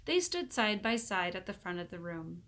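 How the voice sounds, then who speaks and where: Bright-voiced woman reading a children's story. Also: lowish voice, very animated, moderate pace. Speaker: teacher reading a children's book aloud. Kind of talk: animated read-aloud narration for children.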